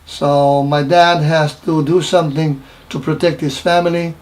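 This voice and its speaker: Older Romanian man with halting English. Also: fairly high pitch, flat delivery, darkish tone. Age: 70s. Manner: halting, repeats phrases, broken English grammar. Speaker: interviewee. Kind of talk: personal wartime recollection interview.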